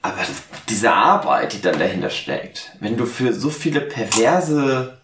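A tipsy man co-hosting a casual podcast, speaking in halting, hesitant phrases. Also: mid-range voice, lively intonation, echoey room, noisy background. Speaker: podcast host. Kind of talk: casual, bantering podcast chat.

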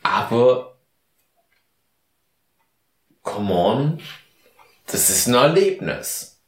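A man's voice: medium pitch, very animated, very slow. Tipsy rambling man in an echoey room. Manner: rambling, mock-philosophical musing. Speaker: podcast co-host. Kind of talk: casual chat.

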